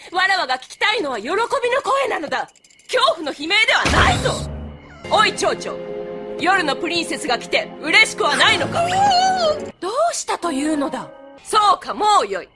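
A woman's voice: very high voice, very animated, a little fast, music in the background. A woman voicing a proud, regal pony princess in a dubbed cartoon, with pompous, archaic speech. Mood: wounded, indignant.

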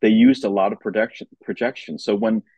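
A man's voice: on the low side, steady tone, fairly quick, mellow, slightly rough, dry recording. A man chatting casually as a guest commentator on an arts podcast. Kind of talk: casual chat.

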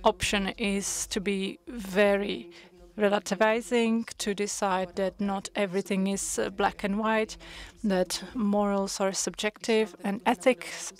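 Brief: woman interpreter, unhurried delivery, mid-range voice, hesitant pauses between phrases. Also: mid-range voice, steady tone. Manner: measured, with frequent hesitations. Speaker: conference interpreter. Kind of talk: simultaneous interpretation of a panel discussion.

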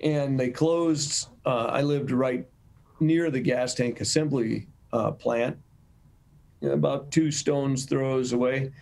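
Slow-paced older American man. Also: medium pitch, fairly even intonation, gravelly, darkish tone. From United States, Michigan. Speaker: painter interviewed as guest. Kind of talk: reflective interview talk. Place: room at home, over video call. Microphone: video call mic.

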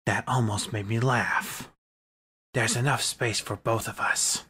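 A man voicing a brooding, aloof hedgehog anti-hero in a cartoon comic dub, terse, cold and deadpan, with gravel in the voice. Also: medium pitch, unhurried, heavily acted. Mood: cold, curt. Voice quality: husky, gravelly. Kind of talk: fan comic dub acting.

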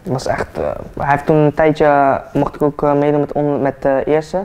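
A young man of eighteen talks about his football career while seated in a barbershop chair. His voice is smooth and dark, delivered on an even, flat pitch. He speaks hesitantly, leaning often on fillers, heard from a camera mic a few meters away. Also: moderate pace. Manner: relaxed, hesitant with many fillers. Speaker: football player, interview guest. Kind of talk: casual interview chat.

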